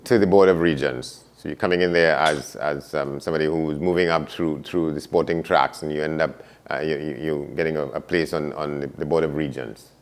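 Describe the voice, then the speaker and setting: A man's voice: a bass voice, fairly even intonation, a little fast. A man hosting an interview, asking long questions with many stammered repetitions. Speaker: interview host.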